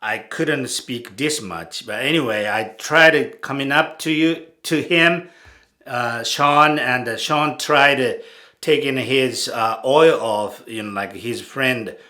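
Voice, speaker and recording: A man in his fifties from Japan with a strong Japanese accent, recalling old times in a halting, filler-heavy way. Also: mid-range voice, pushed and bright. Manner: halting, many fillers and restarts. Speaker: channel host. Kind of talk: casual spoken recollection.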